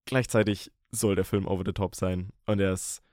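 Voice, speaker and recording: A man's voice: low voice, fairly even intonation, a little mellow, dry recording, studio quality. A young man co-hosting a casual film podcast, playful and teasing. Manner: playful, teasing, quick with jokes. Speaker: podcast co-host.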